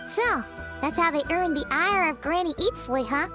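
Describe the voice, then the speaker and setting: A woman voicing a tiny, cheeky, childlike companion creature in a video game with a squeaky voice. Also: lively intonation, normal speed. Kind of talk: video game character acting. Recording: music under the dialogue.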